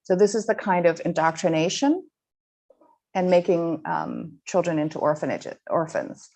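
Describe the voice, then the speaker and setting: Woman guest speaker, slightly lower voice, earnest, fumbles and restarts while walking through slides. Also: animated, creaky, a little mellow. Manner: earnest, halting with frequent ums and restarts. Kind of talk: online slide presentation to a school audience.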